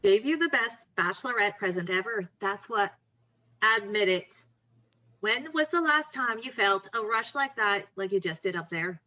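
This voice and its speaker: An actress playing a wry, bold young best friend, teasing and confident, in a conversational table read. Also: female voice, mid-range voice, expressive, normal speed, pushed and bright, lo-fi sound. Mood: pushy, confident.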